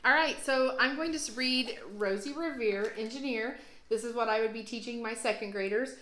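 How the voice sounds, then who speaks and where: A woman teacher reading a children's storybook aloud with expressive, deliberate storytelling phrasing. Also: fairly high pitch, slight background noise. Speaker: teacher, guest read-aloud host. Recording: roomy echo, music behind. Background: storybook app music.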